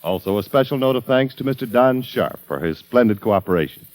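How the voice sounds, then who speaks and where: A man's voice: medium pitch, expressive, fairly quick, a little mellow. A man working as the announcer of a 1940s radio broadcast, giving a formal, polished sponsor read. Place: radio studio.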